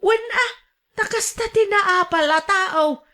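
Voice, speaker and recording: A woman's voice: extremely high pitch, slow, pushed and bright, full character voice. A woman playing an older, quarrelsome mother in a radio drama, aggrieved and defensive, blaming everyone around her. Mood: resentful grumbling. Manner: querulous, defensive. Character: aggrieved, quarrelsome gambling mother who blames her relatives.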